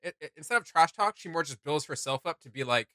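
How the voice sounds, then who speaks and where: A man's voice: fairly high pitch, lively intonation, fast, sharp tone, no room sound, studio quality. A man hosting a reaction video in casual chat, rambling a little and often hesitating as he searches for words.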